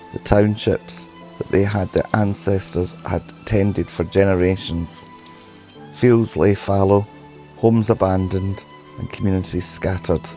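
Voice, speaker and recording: A man with a sore, hoarse throat narrating a history documentary in a solemn, measured way. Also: low-pitched, mellow. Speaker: history channel host.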